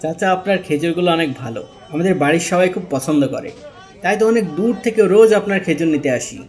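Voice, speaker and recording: A man's voice: on the high side, quick pace, a little mellow, natural voice. A man playing a friendly, generous younger customer in a cartoon. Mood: appreciative. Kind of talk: cartoon dialogue.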